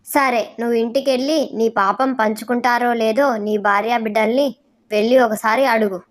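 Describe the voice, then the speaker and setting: Woman playing a high-pitched scolding ghost demon. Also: steady tone, moderate pace, natural voice. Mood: reproachful. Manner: scolding, preachy. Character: reproachful ghost demon who moralises about sin.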